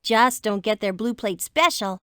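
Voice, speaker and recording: A woman's voice: fairly high pitch, animated, measured pace, fairly bright tone, smooth, dry recording, studio quality. A woman voicing a decisive, wisecracking young adventurer who leads a team in a children's computer game. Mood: confident, wry. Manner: decisive, slangy.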